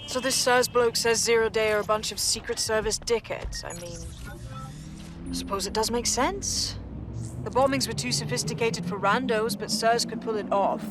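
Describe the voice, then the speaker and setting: An actress playing a blunt, sweary, sardonic resistance leader with a British accent in a video game. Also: female voice, a little high, moderate pace, over music, lightly acted. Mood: blunt, skeptical.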